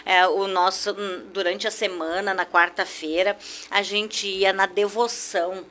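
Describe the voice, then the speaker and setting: A woman's voice: medium pitch, steady tone, measured pace. Older woman reminiscing. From southern Brazil, German-speaking community. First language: German. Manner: warm reminiscing, frequent "né?" tags. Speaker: guest. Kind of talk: nostalgic radio interview storytelling.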